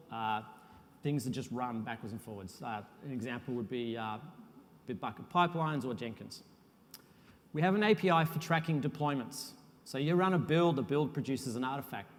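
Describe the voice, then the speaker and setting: A man giving a technical conference talk as a software architect, relaxed and self-deprecating, with frequent uhs. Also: medium pitch, a little fast. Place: conference hall. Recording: long hall reverb.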